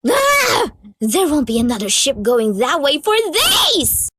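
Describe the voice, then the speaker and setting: Posh, melodramatic lady pony, very lively. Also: high voice, slow, slight background noise, full character voice. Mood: frantic. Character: refined, dramatic, theatrical young female pony fashionista. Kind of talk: comic dub voice acting.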